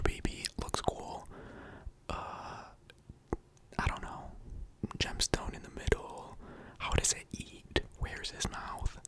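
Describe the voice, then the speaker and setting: A person whispering casual, rambling ASMR-style commentary as a video host, in a hesitant and playful manner. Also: pushed and bright. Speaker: video host ranking game characters.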